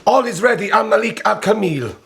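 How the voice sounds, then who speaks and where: An actor playing an eager, obsequious servant of a sultan in a radio drama, creaky, formal and hurried. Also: male voice, sits high, lively intonation, fairly bright tone, slight background noise, exaggerated voice. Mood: officious. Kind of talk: radio historical drama acting.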